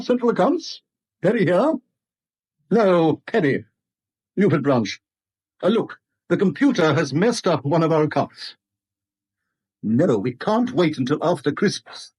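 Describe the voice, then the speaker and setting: An actor playing a fussy, exasperated middle-aged English assistant bank manager in a 1970s radio drama, husky, officious and snappish. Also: male voice, high-pitched, darkish tone, full character voice.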